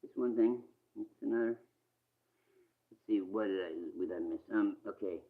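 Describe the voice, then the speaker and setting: A man's voice: lowish voice, normal speed. A man hosting casually and off the cuff, muttering to himself in a distracted way. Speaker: channel host replaying old radio shows. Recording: thin phone-like sound.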